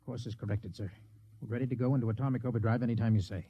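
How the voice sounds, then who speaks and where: A man's voice: medium pitch, fairly even intonation, racing, slightly rough. A man playing a dutiful young lieutenant and starship navigator in 1950s radio drama style. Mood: focused. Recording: muffled 1950s radio recording, very loud noise.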